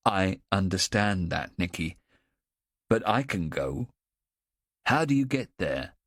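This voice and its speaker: A man voicing a calm adult policeman in an audiobook, questioning gently and steadily. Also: low voice, slow pace, no room sound, studio quality, exaggerated voice. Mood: patient probing.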